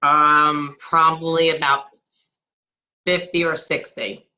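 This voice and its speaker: A woman answering questions on a video call, casual and hesitant with frequent pauses. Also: deep voice, little pitch movement, pushed and bright. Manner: hesitant, lots of ums. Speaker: team member guest. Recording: dull lo-fi call audio.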